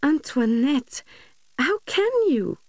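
A woman reader puts on a meek young English governess for a literary audiobook. Her voice rises very high, soft and cooing, with a timid, fretful gentleness. It is recorded dry and close. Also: sing-song, slow, no room sound, exaggerated voice. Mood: cooing, distressed.

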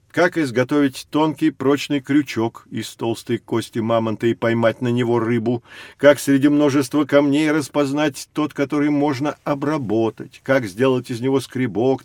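A man playing a knowledgeable, patient teacher who explains things to children in a measured, expressive storytelling way. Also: medium pitch, no room sound, close to an everyday voice. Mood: explanatory. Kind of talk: scripted educational storytelling for children.

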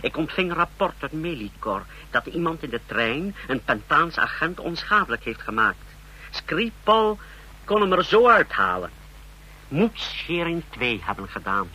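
An actor playing an eager male officer reporting to his superior in a vintage radio drama, brisk and deferential. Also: on the high side, sing-song, sharp tone, husky, full character voice, low-quality recording. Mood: scheming, deferential.

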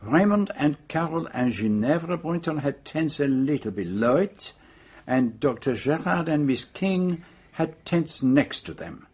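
An actor playing a courteous, methodical Belgian detective with a French-speaking accent, questioning precisely in a radio drama. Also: male voice, fairly high pitch, lively intonation, unhurried, a little mellow, husky. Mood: courteous inquiry. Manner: polite, precise, probing questioning.

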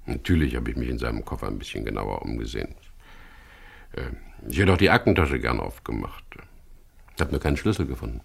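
Plain-spoken skipper, radio crime drama, very deep bass, creaky, guarded, frank. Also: male voice, expressive, fast talker, heavily acted. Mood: frank, dry. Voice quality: very deep, gravelly. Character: frank, wary male boat skipper caught up in a murder case. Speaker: actor in a radio crime drama, also narrating. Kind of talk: 1970s German radio play acting, dialogue and first-person narration.

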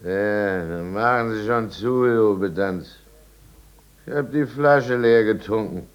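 An actor playing a sick, weary drunkard gentleman, curt and demanding, in a 1950s radio drama. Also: lowish voice, takes it slowly, smooth. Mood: sluggish, demanding. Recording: dull, band-limited old radio recording.

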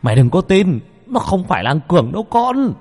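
A man voicing an older master, steady, stern and protective, in a horror story. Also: sits low, fast, husky, faint music behind, full character voice. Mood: stern warning.

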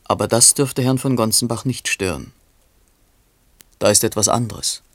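A man's voice: fairly low pitch, normal speed, dry recording, lightly acted. A man playing a dry, knowing chronicler in a literary radio play, narrating tersely with faint irony. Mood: matter-of-fact. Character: dry, knowing male chronicler of a poet's life.

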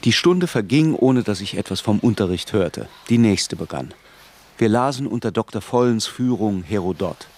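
An actor playing a reflective narrator who recalls his youth in measured literary first-person narration. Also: lowish voice, lively intonation, a little mellow. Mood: pensive.

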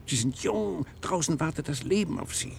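Insistent professor, slightly creaky voice. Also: male voice, on the high side, lively intonation, normal speed, a little hiss or hum. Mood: earnest, persuasive. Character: determined male professor, patient at an institute, urgently persuasive. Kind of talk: German radio play acting.

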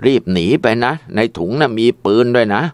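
A man's voice: lowish voice, fairly quick, no echo, natural voice. A man voicing a worried old father in a spoken story, giving urgent, fatherly warnings.

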